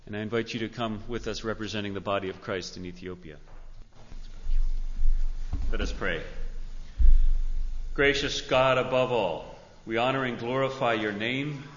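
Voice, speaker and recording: A man speaking as a pastor to his congregation, earnest and measured, in the style of a church address. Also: mid-range voice, a bit bright. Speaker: pastor introducing a guest speaker. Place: large indoor church sanctuary. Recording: reverberant church hall, dull sound.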